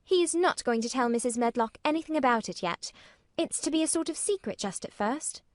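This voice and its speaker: A woman's voice: sits high, big pitch swings, moderate pace, no echo, studio quality, voice pushed up. A woman doing Mary, a blunt young girl. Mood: matter-of-fact.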